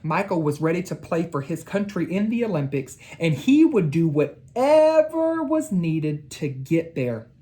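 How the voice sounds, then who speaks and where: A woman educator reading a storybook and talking to children, in a deliberate, emphatic manner. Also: lowish voice, sing-song, mellow. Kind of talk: storybook read-aloud and talk to children.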